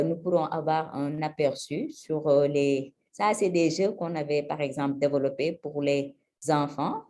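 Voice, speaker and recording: A woman from Senegal giving a webinar presentation over a video call, measured and hesitant, with frequent hesitations. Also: low voice, mellow. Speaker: energy agency presenter.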